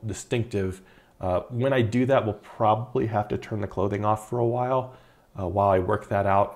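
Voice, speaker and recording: A man in his thirties, an indie game developer, talking casually through a screen-recorded devlog with a relaxed, rambling manner and many fillers. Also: lowish voice. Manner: relaxed, chatty, frequent uh fillers and repeated words. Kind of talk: casual video devlog narration.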